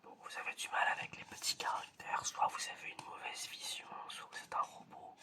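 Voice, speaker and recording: A man speaking in a breathy, unvoiced whisper, playing an examiner in an ASMR roleplay with hesitant, repetitive, teasing patter. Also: brisk.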